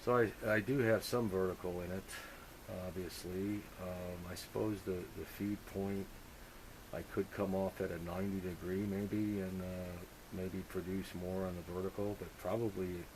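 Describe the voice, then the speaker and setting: A man talking shop as a radio hobbyist, halting and rambling as he thinks out loud. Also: low-pitched, little pitch movement. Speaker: amateur radio operator. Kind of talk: casual technical radio chat. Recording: hissy, noisy radio contact recording.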